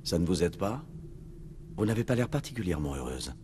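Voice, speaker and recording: An actor playing a steady, direct military commander, the hero of a video game, with a composed manner. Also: male voice, deep voice, brisk, a little hiss or hum. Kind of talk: video game dub dialogue.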